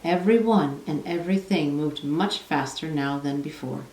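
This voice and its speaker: Low-pitched woman reading in an echoey room. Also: moderate pace, a little mellow. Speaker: storybook reader. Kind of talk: children's read-aloud narration. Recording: echoey room, distant from mic.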